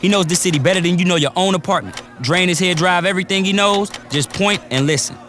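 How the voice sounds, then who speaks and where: A wisecracking military briefer. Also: male voice, sits high, fast talker, fairly bright tone, soft background music. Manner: brash, joking, slangy. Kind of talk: video game cutscene briefing.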